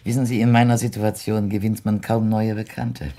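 Radio play: ingratiating blind widower, very low husky voice, poetic and formal. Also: male voice, little pitch movement, moderate pace, warm tone. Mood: grateful, courtly. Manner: flowery, poetic, formally polite, hesitant. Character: courtly blind widowed former musician, ingratiating and observant. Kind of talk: German radio drama acting.

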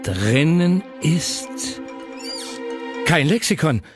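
A woman reads a children's story as narrator. Her voice is low for a woman, with very varied, expressive intonation. Soft music sits behind her. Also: very slow.

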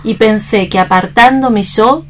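Radio play casting: a woman playing a young woman fresh from despair, earnest and pleading, mid-to-high register, slightly hissy recording. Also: measured pace, lightly acted. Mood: sorrowful. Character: distraught, resolute young woman recovering from despair. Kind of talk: dramatic staged radio reading.

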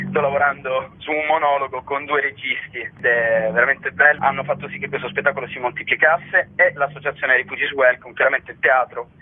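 A man's voice: medium pitch, slightly rough. A man with a Roman Italian accent talks at length in an informal radio phone interview, explaining and often adding tag questions. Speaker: actor and author interviewed about his show. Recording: muffled narrowband phone line on radio.

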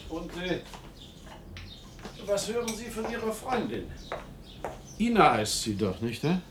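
A man's voice: high voice, sing-song, measured pace, background noise. An actor in a German radio play playing an inquisitive, gossipy man with an insistent, suspicious, probing manner. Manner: probing, insinuating, emphatic.

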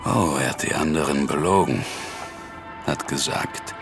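Very deep, gravelly, world-weary man. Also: unhurried, music in the background, heavily acted. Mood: resigned. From New York, United States. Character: laconic, world-weary American man confiding in his lover. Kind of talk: intimate radio drama acting.

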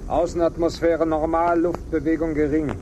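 An actor playing a practical, impatient pilot in a German radio drama, speaking in clipped, technical phrases. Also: male voice, a little high, level tone, a little mellow, a little hiss or hum, natural voice. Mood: businesslike. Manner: clipped, brisk, technical reports. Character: practical, impatient male pilot of an expedition glider.

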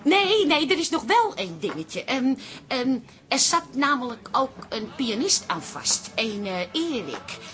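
Bright-voiced, stubborn pub landlady. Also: high voice, sing-song, measured pace, heavily acted. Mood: polite, flustered. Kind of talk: radio drama acting.

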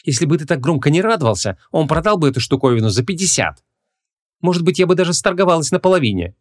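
A man's voice: on the high side, big pitch swings, quick pace, no echo, studio quality, pitched above the performer's own voice. A man voicing a sarcastic, hot-tempered young woman in an audiobook, sometimes awkward and sometimes biting. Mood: hissing, annoyed. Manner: hesitant when unsure, biting when annoyed.

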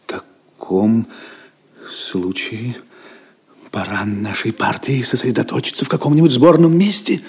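An actor playing a cautious, deferential adviser to a medieval prince in an audio drama, courtly and measured. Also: male voice, medium pitch, darkish tone, breathy edge. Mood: cautious, concerned.

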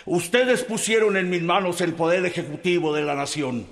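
An actor playing a stern revolutionary leader giving a formal, grave speech to assembled officers in a radio drama. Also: male voice, sits high, fairly bright tone, in a large hall. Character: stern aging revolutionary leader resigning command.

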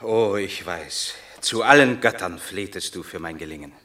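An actor playing a proud, unbending yet tender Roman war hero in a classical radio drama, grave and declamatory. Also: male voice, deep voice, animated, moderate pace, sharp tone. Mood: tender gravity.

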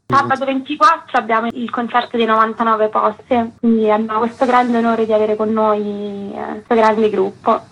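A woman's voice: a little high, level tone, normal speed, few pauses, fairly bright tone, slightly rough, low-quality recording. A woman being interviewed on the radio, explaining things in an earnest, committed way. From Palestinian community. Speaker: interviewee, festival representative.

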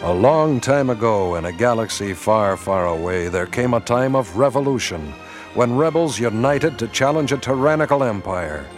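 A man narrating a radio drama in a grave, measured storytelling voice. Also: medium pitch, expressive. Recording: narration over music score.

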